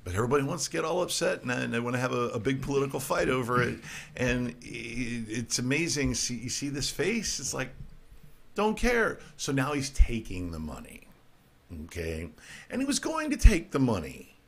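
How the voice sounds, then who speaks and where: A middle-aged man hosts a loose, irreverent talk show and rants about the news. His voice sits at an ordinary middle pitch, and he keeps tripping over himself and restarting his sentences. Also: sing-song, moderate pace, vocal fry. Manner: ranting, irreverent, stumbling restarts. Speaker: podcast host. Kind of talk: casual political comedy talk.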